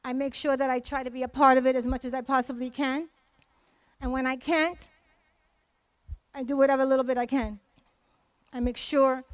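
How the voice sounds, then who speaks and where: High-pitched woman speaking in public. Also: no room sound. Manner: emphatic, repeats phrases for stress. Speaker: community member giving testimony. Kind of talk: impassioned public speech at a memorial event.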